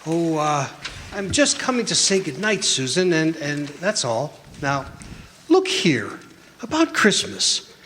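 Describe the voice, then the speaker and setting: A man playing a kindly, jolly old Santa Claus in a stage radio drama, coaxing and fond with halting speech. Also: a little high, very animated, fairly bright tone, a little hiss or hum, heavily acted. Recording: echoey hall stage recording.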